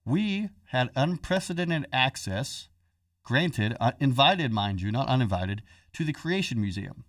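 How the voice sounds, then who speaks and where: A man's voice: mid-range voice, expressive, measured pace, a bit bright, no echo, studio quality, distant mic. A man hosting a podcast in casual chat, joking and telling a story in a chatty way. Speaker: podcast host.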